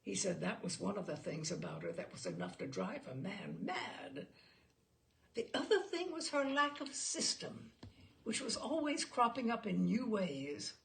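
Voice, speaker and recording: A woman reading a literary short story aloud as a storyteller, in an animated, theatrical manner. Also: mid-range voice, measured pace, gravelly, a little hiss or hum.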